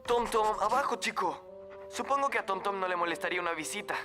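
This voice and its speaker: A man's voice: sits high, fast, music in the background, natural voice. A man voicing a friendly, earnest boy in a cartoon dub. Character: friendly, earnest boy who runs pet shelter. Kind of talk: animated cartoon dub acting.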